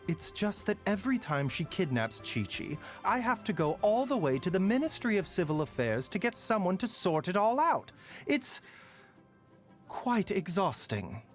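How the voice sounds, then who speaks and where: A calm, courteous pharmacist speaks softly and with measured care. His fairly high voice rises and falls with lively, wry turns, over faint game music. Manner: soft-spoken, measured, politely wry. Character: gentle male pharmacist doctor, calm and courteous. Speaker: game character voice lines. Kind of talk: scripted game voice lines.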